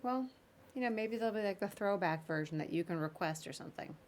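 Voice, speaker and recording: A woman's voice: mid-range voice, lively intonation, quick pace, darkish tone. A woman hosting a podcast, interviewing a guest in an informal, chatty, conversational way. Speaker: podcast host.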